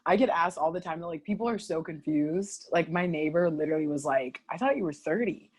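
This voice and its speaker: A woman's voice: deep voice, a little fast, slightly rough. A woman in her twenties, a podcast guest, chatting casually and telling stories with lots of laughter. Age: 20s. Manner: giggly, animated storytelling. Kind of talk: casual chat.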